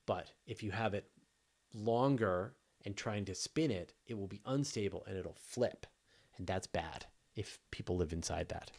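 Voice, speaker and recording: A man's voice: lowish voice, a little slow, vocal fry. Enthusiastic man hosting a live Q&A. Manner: informal and enthusiastic, talking off the cuff. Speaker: host of a live astronomy question show. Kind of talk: casual live Q&A chat.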